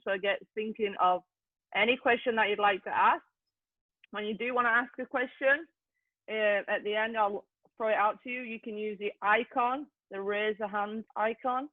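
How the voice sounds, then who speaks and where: A woman's voice: mid-range voice, no echo. A woman coach with an English accent hosting a video call Q&A, chatty and often saying "okay". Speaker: youth soccer coach, host. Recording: tinny, dry video call audio.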